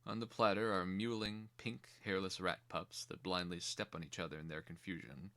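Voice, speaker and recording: A man's voice: low voice, steady tone, normal speed, a bit bright. A man giving scripted dramatic narration as the narrator of a fantasy screenplay reading.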